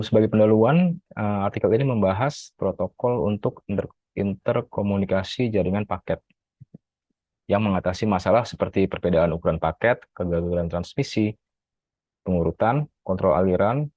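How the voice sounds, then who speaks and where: A man's voice: deep voice, normal speed, darkish tone, no echo. A male graduate student steadily reading a prepared academic article review, with occasional self-corrections. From Indonesia.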